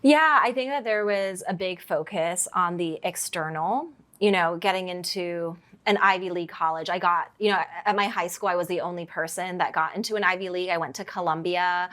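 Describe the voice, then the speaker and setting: A woman's voice: on the low side, a little fast, few pauses, fairly bright tone. A woman from Hong Kong, a podcast guest, recounting her past candidly and reflectively, with lots of "you know" and "like". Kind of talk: candid personal storytelling.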